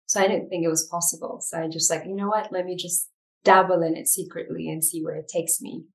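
A woman from Ethiopia, a documentary filmmaker, answering interview questions in a reflective way with many pauses and restarts. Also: on the low side, steady tone, gravelly, distant mic, low-quality recording. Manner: reflective, frequent fillers and restarts.

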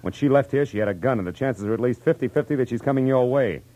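A man's voice: medium pitch, fast, mellow, lightly acted. A man playing a tough, wry American private detective in 1940s radio noir style, with clipped, slangy delivery. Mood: urgent, brisk. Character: hard-boiled male private detective, tough, wry, world-weary. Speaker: detective narrator and lead. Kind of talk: 1940s radio noir acting and first-person narration. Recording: noisy vintage radio recording.

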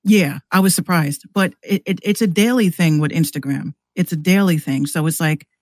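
A woman guesting on a podcast, chatting and telling stories casually in a slangy, halting way with repeated words. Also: lowish voice, dry recording, clean studio sound. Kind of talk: casual chat, storytelling.

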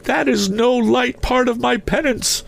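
A man voicing the anguished ghost of a dead businessman in a storybook reading, mournful and declamatory, with drawn-out solemn words. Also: very high voice, gravelly, pitched above the performer's own voice, heavily acted. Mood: urgent, grave.

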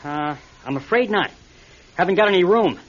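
An actor playing a reluctant, cautious young amateur pilot in a classic radio drama, casual and stammering. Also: male voice, high-pitched, animated, normal speed. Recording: dull, slightly noisy radio drama recording.

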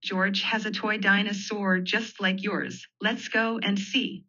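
A woman's voice: mid-range voice, flat delivery, slow pace, sharp tone, exaggerated voice. A woman voicing a kindly, patient mother rabbit in a storybook, coaxing in a motherly way. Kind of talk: storybook character voice. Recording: dull lo-fi audio.

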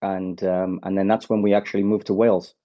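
A man with a low, mellow voice tells his story in a loose, halting way, full of ums and restarts. The sound is dull and lo-fi, as if it comes through a call. He grew up in Zambia. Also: level tone, no room sound. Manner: halting, many fillers and restarts. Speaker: guest, former footballer. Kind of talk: casual interview over phone. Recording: dull lo-fi phone-call audio.

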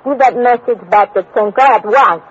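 Haughty, husky foreign female spy. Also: fairly high pitch, normal speed. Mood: cold, commanding. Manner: imperious, theatrical. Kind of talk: 1950s radio drama acting. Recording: dull, lo-fi old radio.

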